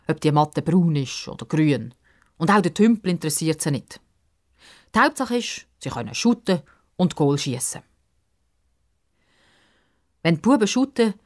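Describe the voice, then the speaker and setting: Tiefere Frauenstimme, Schweizer Märchenerzählerin. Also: female voice, low-pitched. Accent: Swiss. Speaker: storyteller. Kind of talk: expressive scripted narration of a children's story.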